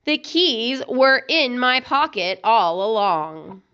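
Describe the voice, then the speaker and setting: Breezy storybook papa pig, played by a woman: easygoing, unhurried, with lively intonation. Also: fairly high pitch, clean tone, muffled recording, heavily acted. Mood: casual. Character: easygoing, dawdling father pig.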